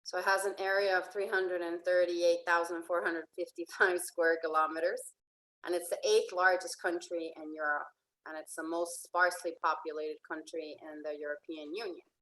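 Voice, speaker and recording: A woman life coach from Finland giving a casual slideshow presentation, hesitant with many fillers and restarts, often trailing off softly. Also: on the low side, flat delivery, normal speed, low-quality recording. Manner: trails off softly at phrase ends.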